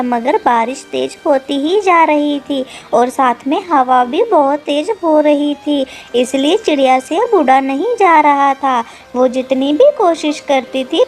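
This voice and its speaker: A woman reading scripted narration for a children's animated story. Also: sits high.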